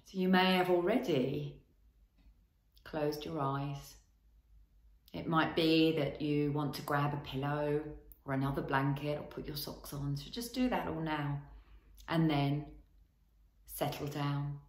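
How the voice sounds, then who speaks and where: A woman leading a guided body scan as a relaxation teacher, giving gentle instructions in short phrases with long pauses. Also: low-pitched, roomy sound.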